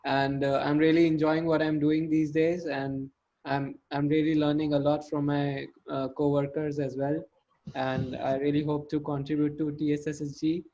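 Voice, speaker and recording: A man's voice: a little high, level tone, moderate pace, warm tone. Eager young man rambling on a call. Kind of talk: self-introduction on video call. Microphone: video call. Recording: muffled lo-fi video call audio.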